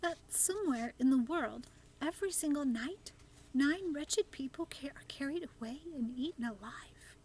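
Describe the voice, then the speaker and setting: A woman's voice: sits high, animated, moderate pace, a little mellow, a little hiss or hum, pitched above the performer's own voice, rough recording. A woman voicing a curious, easily horrified little girl in a storybook, questioning and exclaiming. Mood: wondering, concerned.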